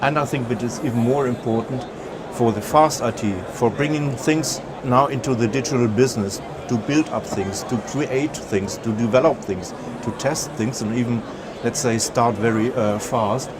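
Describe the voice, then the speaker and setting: A man from Germany with a German accent, giving a conference presentation, pausing often with filler sounds and restarting sentences. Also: medium pitch, noisy background. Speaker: conference speaker.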